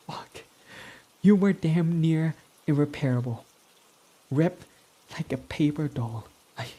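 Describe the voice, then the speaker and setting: A man's voice: medium pitch, dark tone, husky. A young man voicing a brooding, foul-mouthed villain who is guarded but devoted, speaking in an intimate, confessional way close to the mic. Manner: intimate and confessional, swearing freely. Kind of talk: ASMR roleplay audio drama.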